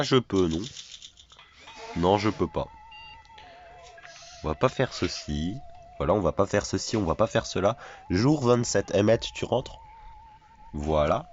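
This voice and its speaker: A man's voice: lowish voice, animated, slow pace, long pauses, lo-fi sound, faint music behind. A man with a cold giving chatty, self-mocking commentary over a survival video game, often repeating his phrases. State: has a cold. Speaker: gaming YouTuber. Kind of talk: casual let's play commentary.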